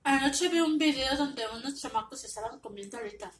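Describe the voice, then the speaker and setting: A woman's voice: high voice, a little fast, slight background noise. A woman in her forties, a native Spanish speaker, chatting casually between bites while eating at home in front of a webcam, humming as she chews. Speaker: mukbang vlogger. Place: home living room, indoors. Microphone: webcam at table. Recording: echoey room, mic a bit far.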